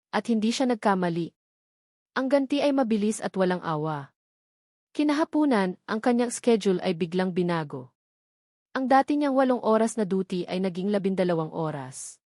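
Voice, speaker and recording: A woman's voice: mid-range voice, darkish tone, no echo, studio quality. A woman reading a scripted, dramatic story as its narrator.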